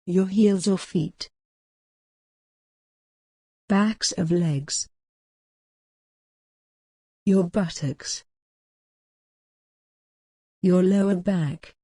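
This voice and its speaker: A woman leading a guided mindfulness meditation in a gentle, soothing, measured way with long pauses. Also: fairly low pitch, dry recording, studio quality.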